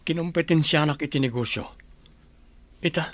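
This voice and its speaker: An actor with an Ilocano accent playing an earnest, troubled grown son in a radio drama. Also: male voice, fairly high pitch, moderate pace, no room sound, close to an everyday voice. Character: troubled, earnest adult son confiding in his mother.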